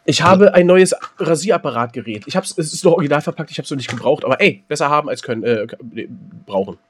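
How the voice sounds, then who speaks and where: A man's voice: fairly high pitch, fast talker, husky. A man co-hosting a podcast, chatting casually over a video call, rambling with lots of fillers. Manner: relaxed, rambling, many fillers. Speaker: podcast co-host.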